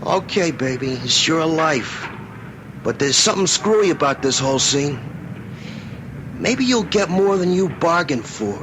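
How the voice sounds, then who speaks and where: An actor playing a cynical, scheming young hustler in old-time radio drama, slangy and insinuating. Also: male voice, a little high, big pitch swings, a little slow, background noise. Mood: pushy, taunting.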